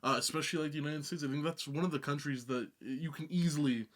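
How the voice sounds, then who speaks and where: A man co-hosts a casual music reaction chat. He hesitates often, piling up fillers and restarting his thoughts, and his voice sits a little high. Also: fast, fairly bright tone. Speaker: music reaction show co-host.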